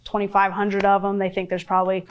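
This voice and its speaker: A woman's voice: medium pitch, monotone, fast talker, slight background noise. A woman on a panel giving a casual, chatty answer with restarts and fillers. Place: public forum room.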